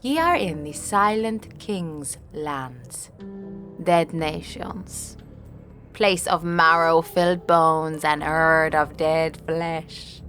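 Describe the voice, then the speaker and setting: A woman's voice: low voice, a bit bright, exaggerated voice. A woman playing a wicked, feral ghoul matriarch in an audio drama, taunting and hungry in archaic speech. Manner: slow, taunting, archaic speech mixed with French words.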